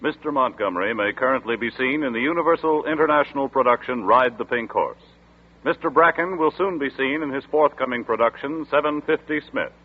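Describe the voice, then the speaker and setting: A male announcer reading credits and a promo in a formal, measured way. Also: mid-range voice, breathy edge. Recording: tinny lo-fi 1940s radio broadcast.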